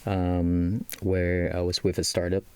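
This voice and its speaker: A man's voice: low-pitched, completely flat delivery, slow pace, darkish tone, smooth, dry recording. A Malaysian man in his thirties, a business guest in an interview, speaking with a Malaysian accent and many fillers and restarts. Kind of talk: casual interview talk.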